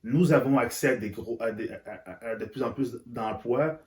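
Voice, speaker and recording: A man's voice: mid-range voice, normal speed, darkish tone. A man, a guest talking candidly and earnestly, often stumbling and repeating words. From Central Africa. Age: 30s. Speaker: interview guest. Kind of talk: candid conversation. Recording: roomy echo.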